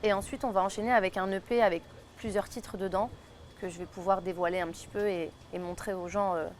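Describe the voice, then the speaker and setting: Casual, chatty young Belgian woman, mid pitch, light room noise. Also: steady tone, fast talker. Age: 20s. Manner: chatty, many fillers and restarts. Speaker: singer and influencer guest. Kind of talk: casual chat. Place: table at a food tasting.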